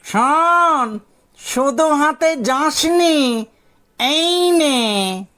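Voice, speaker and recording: A greedy, friendly swamp ghost from a village storybook tale. The voice is pitched very high and dragged out slowly, coaxing and chummy in a rustic way. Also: male voice, lively intonation, sharp tone, exaggerated voice. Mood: pleased, generous. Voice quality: high, drawn-out voice. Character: grotesque moustached male water ghost, greedy, friendly.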